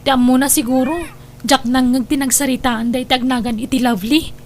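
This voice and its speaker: A woman's voice: high voice, steady tone, a little fast, darkish tone, slight background noise. A woman playing an anxious, scheming young adopted daughter in an audio drama, plaintive, with a Northern Philippine accent. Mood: anxious, accusing. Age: young adult. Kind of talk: emotional audio drama acting.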